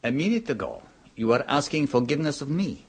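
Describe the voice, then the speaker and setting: An actor playing Luigi, a suave, worldly host who teases his guests in a smooth, theatrical manner. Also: medium pitch, sing-song, fairly quick. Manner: smooth, teasing, theatrical with chuckles. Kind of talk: 1970s radio drama acting. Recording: old radio broadcast.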